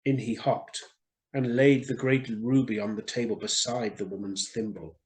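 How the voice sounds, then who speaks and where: A man's voice: medium pitch, steady tone, normal speed, darkish tone. A man reading a story expressively for children, in a theatrical and friendly storyteller's manner.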